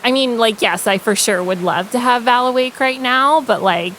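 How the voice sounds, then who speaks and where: Give me a woman acting out a bubbly, awkward young adventuring woman in a fantasy game. She gushes and hedges in casual, halting chatter, with clear hiss in the recording. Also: on the high side, fairly bright tone, close to an everyday voice. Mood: gushing, confiding. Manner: gushing, hesitant, filler-heavy casual chatter.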